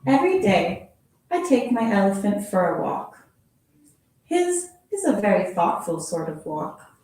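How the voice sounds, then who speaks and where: A woman teacher reading a children's picture book aloud in a playful, expressive storytelling manner, adding little sound effects. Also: medium pitch, unhurried. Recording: very echoey room from far off.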